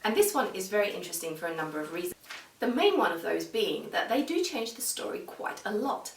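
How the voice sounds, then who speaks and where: A woman giving a casual, conversational video review in a measured way, talking through her opinions. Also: medium pitch, big pitch swings, a little hiss or hum. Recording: echoey room, distant microphone.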